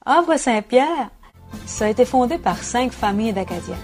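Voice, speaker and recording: Mellow-voiced Québécoise woman. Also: on the high side, lively intonation, normal speed, faint music behind. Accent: Québécois French. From Quebec, Côte-Nord. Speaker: interviewed singer. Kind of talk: casual interview answer.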